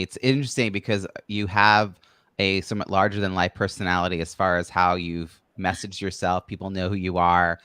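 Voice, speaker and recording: A man's voice: sits low, a bit bright, clear, resonant tone, no room sound, studio quality. A man hosting a podcast interview, outgoing and talkative, building up long conversational questions phrase by phrase.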